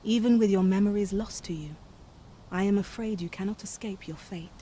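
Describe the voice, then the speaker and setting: Solemn female servant from another world for a fantasy game, acted by a woman: mellow, formal, deferential, over background ambience. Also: medium pitch, fairly quick, slight background noise, close to an everyday voice. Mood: solemn, courteous. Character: otherworldly female Pawn, solemn, dutiful servant guide. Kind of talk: scripted fantasy game dialogue, archaic formal English.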